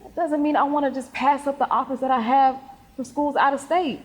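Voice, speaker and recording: A woman playing a determined, defensive high school girl athlete in a stage play. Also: steady tone, brisk, natural voice. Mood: concerned, insistent. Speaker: stage actor. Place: theatre stage, recorded in the hall. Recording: echoey hall with long reverb.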